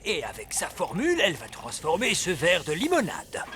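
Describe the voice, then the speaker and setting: A man's voice: high voice, huge pitch swings, moderate pace, noisy background. A man playing a pompous old wise elf magician in a dubbed cartoon, theatrical and fussy, speaking in sententious pronouncements. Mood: stern, pedantic. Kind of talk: animated cartoon dub acting.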